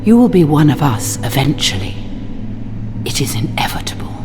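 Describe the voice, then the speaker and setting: A woman's voice: sits low, very animated, normal speed, whispered. An actress playing a haughty, seductive vampire queen in a video game, silky and taunting, with eerie music behind her. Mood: mocking, haughty. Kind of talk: dramatic video game villain acting.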